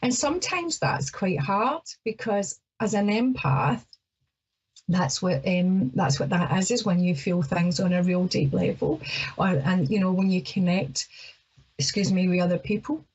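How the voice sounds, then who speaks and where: Middle-aged scottish lady hosting a radio show, nervous and chatty, lots of ums, kind of husky, dull lo-fi sound. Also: on the low side, animated, unhurried, darkish tone. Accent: Scottish, Ayrshire. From Scotland. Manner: chatty and hesitant, with many fillers. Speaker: radio show host, psychic. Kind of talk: casual talk-radio monologue.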